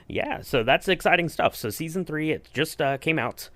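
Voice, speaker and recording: A man's voice: on the high side, animated, moderate pace, fairly bright tone, no echo. Casual male podcast host, dry sound. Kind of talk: casual interview chat.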